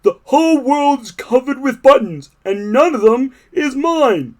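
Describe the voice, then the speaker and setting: A man voicing a fussy, easily upset male toad in a storybook read-aloud, talking loud and complaining. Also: sits high, expressive, unhurried, voice pushed up.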